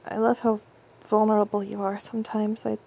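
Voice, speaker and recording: A young woman playing an affectionate, intense girlfriend in an intimate audio roleplay, speaking close to the mic in soft whispers that break into stammers. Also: mid-range voice, flat delivery, fairly quick, slightly rough. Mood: tender, conflicted.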